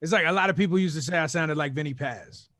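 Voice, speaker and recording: A man with a gravelly voice chimes in agreeably on a panel. His voice is a bit high for a man. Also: fast talker, a bit bright. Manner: agreeable, chiming in.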